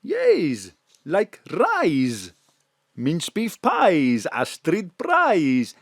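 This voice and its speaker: An actor playing a cheerful, flirtatious foreign neighbour who mixes up English words. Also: male voice, on the high side, extremely slow, gravelly, no echo, clean studio sound, heavily acted. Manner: warm, flirtatious, lively. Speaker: Sakis, café owner friend. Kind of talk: live audio drama acting. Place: home studio.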